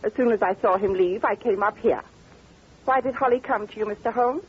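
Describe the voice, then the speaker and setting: A woman's voice: mid-range voice, a little fast, husky. A woman playing a shrewd, mockingly amused British duchess and former music-hall star in an old-time radio drama, imperious and theatrical. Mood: brisk, amused. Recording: dull, lo-fi old radio recording.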